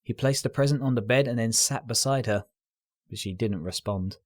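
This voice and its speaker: A man reading a scripted story aloud as narrator, in an expressive, earnest and dramatic storytelling style. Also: mid-range voice, normal speed, no echo.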